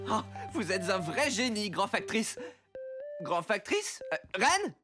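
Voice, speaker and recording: Earnest mentor-inventor: animated, flustered children's cartoon delivery with loud music behind. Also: male voice, high voice, takes it slowly, heavily acted. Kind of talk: children's cartoon dub acting.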